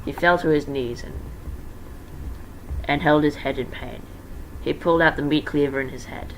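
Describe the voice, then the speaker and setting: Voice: medium pitch, normal speed. An amateur reader giving a casual dramatic reading, informal and stumbling, with self-correcting asides. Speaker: amateur fan fiction reader. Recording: noisy amateur home recording.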